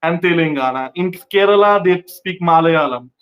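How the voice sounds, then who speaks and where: A man's voice: a little high, moderate pace, highs cut off. A man from West Bengal, India, hosting a casual interview and explaining things at length, often saying "like" as a filler. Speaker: interviewer, host.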